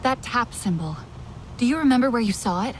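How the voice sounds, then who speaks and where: A woman's voice: a little high, lively intonation, fairly quick, a little hiss or hum, close to an everyday voice. A woman playing a young alien seeker in a video game, earnest, unsure and questioning. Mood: searching, earnest. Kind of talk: video game character acting.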